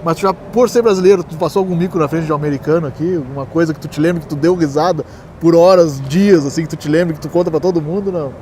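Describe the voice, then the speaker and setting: A man's voice: on the high side, quick pace, mellow, slight background noise. A man hosting an interview, asking questions and backing his guest up with frequent "aham". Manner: affirming, frequent "aham" and laughs. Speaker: interviewer, channel host. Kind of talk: casual interview chat.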